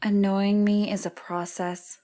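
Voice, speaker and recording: A woman as an irritable, slow-spoken, sarcastic woman. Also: mid-range voice, flat delivery, dark tone, close to an everyday voice. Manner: snappish, sarcastic. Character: irritable, sarcastic, hot-tempered young woman. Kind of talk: audio drama acting.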